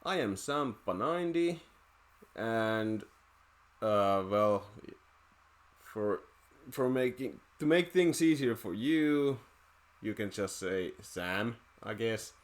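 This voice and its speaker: Slow, hesitant Finnish-accented man. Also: lowish voice, lively intonation. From Finland. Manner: hesitant, many restarts and filler words. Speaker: let's play host. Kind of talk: casual commentary while playing a video game. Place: at home playing on a TV. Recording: game music playing underneath. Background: video game music.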